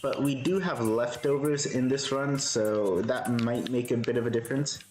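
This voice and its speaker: A man live-streaming a game, with a soothing voice, thinking aloud in a relaxed way and answering chat. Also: mid-range voice, normal speed, reverberant, soft background music.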